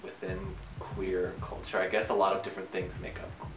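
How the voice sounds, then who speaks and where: A man in his twenties gives informal interview answers in a deep voice. He sounds roomy and off the microphone, with music behind. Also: level tone, brisk, distant mic. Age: 20s. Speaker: student interviewee.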